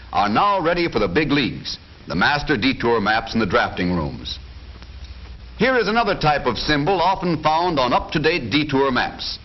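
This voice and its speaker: A man's voice: on the high side, highs cut off. An American man narrating a 1940s educational documentary in a scripted, jaunty, folksy announcer style on a vintage film soundtrack.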